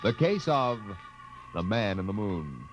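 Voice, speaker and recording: A man's voice: fairly low pitch, very animated, measured pace. A man narrating a dramatic, scripted 1950s radio trailer. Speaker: radio announcer. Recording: dull vintage radio recording. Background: music.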